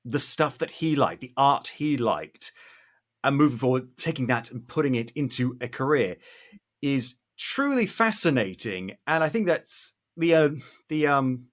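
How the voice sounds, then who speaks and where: A man hosting a podcast in an effusive, conversational way, often halting as he searches for the right words. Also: medium pitch, animated. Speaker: podcast host. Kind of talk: enthusiastic conversational interviewing.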